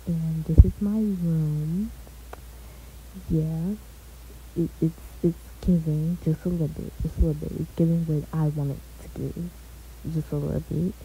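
Chill young woman, super mellow lowish voice, bit of hiss behind. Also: rough recording. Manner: laid-back, chatty, trailing off with "so yeah". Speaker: gaming vlogger. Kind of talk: casual game house tour. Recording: faint hiss.

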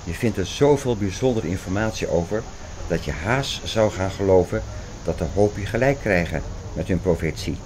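A man playing a serious believer in dark prophecies, talking deliberately and instructively in an audio drama. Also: low voice, a little hiss or hum, lightly acted, low-quality recording. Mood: serious, knowing. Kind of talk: scripted audio drama dialogue.

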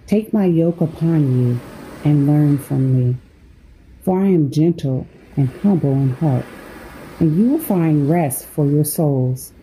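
Low, slow, mellow woman guiding sleep meditation. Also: slight background noise, rough recording. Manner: unhurried, measured delivery with pauses between phrases. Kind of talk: guided sleep meditation with scripture reading.

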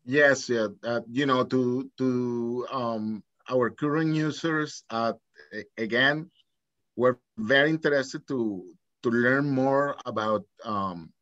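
A man's voice: mid-range voice, takes it slowly, fairly bright tone, no room sound, dull audio. Give me a hesitant man with a Venezuelan accent. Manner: hesitant, many restarts and fillers. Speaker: software company guest. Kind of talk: casual webinar talk.

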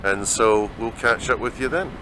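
A man's voice: mid-range voice, moderate pace, background noise. A Scottish man narrating a travel vlog outdoors, chatty and rambling, on a handheld camera mic. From Scotland. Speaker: vlogger. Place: outdoors in open desert.

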